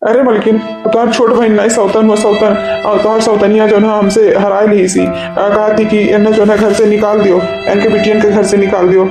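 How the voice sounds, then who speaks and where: Henpecked cartoon husband , male performer, high flat voice, pleading repetitive delivery, eastern Uttar Pradesh accent. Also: normal speed, few pauses, music in the background. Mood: helpless, upset. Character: henpecked, helpless village husband caught between two wives. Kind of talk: village family comedy cartoon dub. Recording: echoey room sound.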